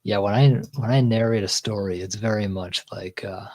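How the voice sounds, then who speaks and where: A man's voice: fairly low pitch, fairly even intonation, normal speed, darkish tone. A man with a Texan accent hosting a casual livestream, reading chat comments aloud and answering them in a rambling way with restarts and a chest voice. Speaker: livestream host.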